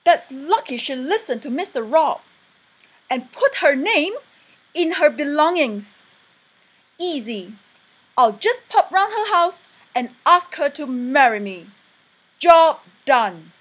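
A woman's voice: high-pitched, big pitch swings, slow, pauses often, full character voice, rough recording. A woman playing a jaunty, self-assured storybook prince in a breezy pantomime style. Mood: cocky. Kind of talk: children's pantomime acting.

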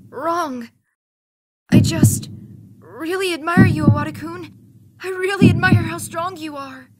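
A woman voicing a timid, gentle teenage boy in a fan manga dub, hesitant and soft, with stammers. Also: very high-pitched, unhurried. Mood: earnest.

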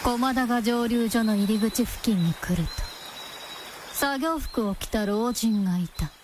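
A woman's voice: on the high side, a little slow, background noise. A woman reading scripted narration for a radio drama as its storyteller.

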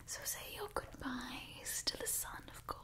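A young woman playing a haughty, doting immortal vampire in a whispered ASMR roleplay, speaking softly and breathily in a refined, coaxing way. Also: natural voice. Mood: calm, instructive. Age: young adult. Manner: slow, refined, coaxing, faintly imperious. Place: small indoor set with wooden backdrop. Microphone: close mic, out of frame. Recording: close-miked whisper, noticeable hiss, soft clicks.

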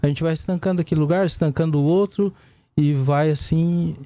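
A Brazilian man with a fairly high, soft-edged voice answers interview questions as a police commander. He explains step by step, checking in with short tags, close and dry on a studio microphone. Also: steady tone, brisk, no room sound. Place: small indoor broadcast studio. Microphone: desk gooseneck microphone.

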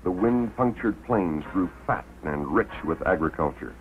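An American man narrating a 1950s promotional documentary in a measured, deliberate way with dramatic pauses. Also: deep voice, lively intonation. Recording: tinny old film soundtrack, faint music.

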